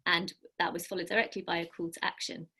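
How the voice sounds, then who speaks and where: A woman presents at an online workshop. Her voice sits low with little movement in pitch, and she speaks earnestly, with the odd filler. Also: level tone, fast, bright, forceful tone, husky.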